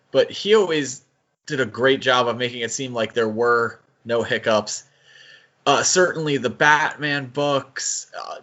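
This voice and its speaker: A man talks through his points as a podcast co-host, casual but analytical, often hedging as he thinks aloud. His voice sits at an average pitch for a man. The recording is dull and a little lo-fi. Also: a bit bright.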